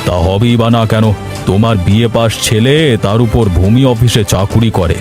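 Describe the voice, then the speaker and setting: Guy doing a sly bullying village headman for a cartoon, wheedly and lecherous, loud music behind. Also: lowish voice, fairly even intonation, normal speed, lightly acted. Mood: wheedling. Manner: wheedling and menacing. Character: scheming, lecherous widowed village headman, a bullying land-grabber. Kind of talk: Bengali cartoon voice acting.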